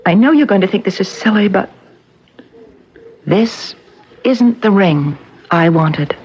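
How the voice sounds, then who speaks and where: A woman's voice: mid-range voice, animated, slow, a little mellow, breathy edge, dull audio. An actress playing a guarded, touchy, romantic woman in an old-time radio drama. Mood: insistent. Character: guarded, romantic, touchy woman being courted, stubborn about her demands. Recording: band-limited old radio broadcast.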